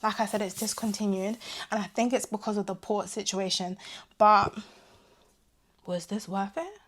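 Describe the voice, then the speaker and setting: A young woman vlogging about her gadgets in a chatty, animated way, her voice going hoarse. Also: mid-range voice, normal speed. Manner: chatty and animated, drifting into asides. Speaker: vlogger reviewing her tech purchases. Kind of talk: casual chat to camera.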